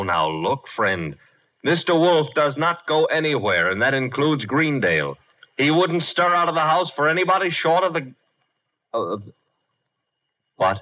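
An actor playing a cheeky detective's assistant in a 1950s radio drama, sarcastic and mock-deferential. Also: male voice, medium pitch. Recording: muffled vintage 1950s radio recording.

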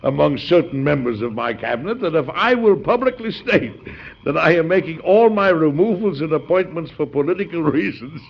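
An actor playing a principled, jovial, stubborn American president in an old-time radio drama, emphatic and folksy. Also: male voice, fairly high pitch, expressive, moderate pace, creaky, breathy edge, full character voice. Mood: amused, hearty. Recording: dull, lo-fi old radio recording.

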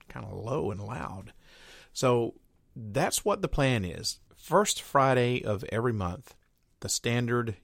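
A man's voice: on the low side, dry recording, studio quality. A man hosting his own podcast in a casual, rambling monologue with frequent fillers and restarts. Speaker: podcast host.

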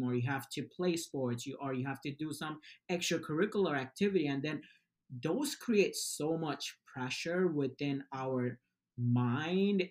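A man from Bangladesh, a nonprofit founder, answering interview questions earnestly with many fillers and "right?" tags. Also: medium pitch.

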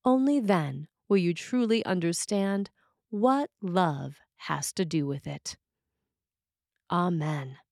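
A woman reading a scripted sermon in a measured, deliberate way with pauses between phrases. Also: fairly low pitch, expressive, creaky, darkish tone, no echo, studio quality. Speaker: sermon reader.

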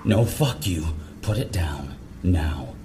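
Man playing a deep, gruff military corporal. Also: lively intonation, slow pace, very noisy. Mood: threatening. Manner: commanding, profane, stern. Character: gruff, territorial male National Guard corporal.

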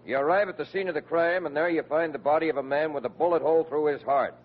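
An actor playing a stern police instructor with a brisk, commanding manner in an old-time radio comedy. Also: male voice, a little high, lightly acted. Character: stern police lieutenant drilling rookie recruits. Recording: muffled old radio recording.

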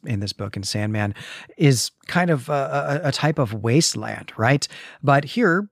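A man's voice: mid-range voice, dry recording, clean studio sound. A man co-hosting a podcast, recapping and discussing a story with emphatic repetition. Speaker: podcast co-host.

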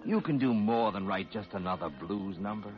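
Man acting a deep, solemn ballplayer. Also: expressive, close to an everyday voice. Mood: solemn, urging. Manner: slow, solemn, urging. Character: famous old-time baseball player, earnest, fatherly adviser. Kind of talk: 1940s radio acting. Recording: muffled old radio, loud music behind.

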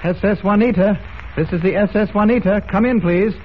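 A man's voice: high voice, brisk, natural voice. An actor playing an earnest, plucky young male adventure hero in an old radio drama. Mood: insistent. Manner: earnest, clean-cut. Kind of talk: 1940s radio drama acting. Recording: muffled, noisy old radio recording.

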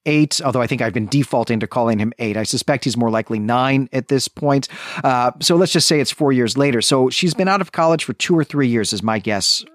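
A man with a mid-pitched voice talks through a literary argument as a podcast co-host. He reasons aloud, qualifies himself and often checks his points as he goes. The sound is dry, with very little room in it. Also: a little fast, no room sound. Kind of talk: conversational literary discussion.

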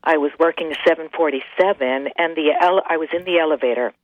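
A woman's voice: low voice, fast talker, slight background noise. A woman from Brooklyn with a Brooklyn accent, calling in to a radio show and talking earnestly. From Brooklyn, New York. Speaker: caller. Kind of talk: phone call to radio show. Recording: tinny phone line.

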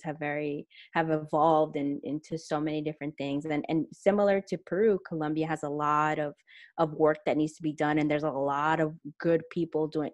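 A woman's voice: sits low, dry recording. A young woman talking casually and reflectively as a guest, leaning on fillers like "you know" and "like". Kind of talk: casual conversation.

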